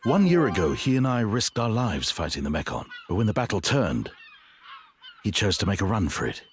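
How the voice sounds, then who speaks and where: A man voicing a grave, honourable, regretful warrior in a video game, earnest and measured. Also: lowish voice, big pitch swings, a little hiss or hum. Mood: grim. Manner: earnest, measured, formal, brooding. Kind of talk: dramatic video game voice acting.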